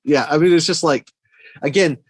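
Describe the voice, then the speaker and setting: A man's voice: fairly high pitch, moderate pace, slightly rough, no room sound. A man in a casual film discussion on a podcast, talking like an enthusiastic fan and thinking aloud as he goes. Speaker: podcast guest or co-host, movie fan.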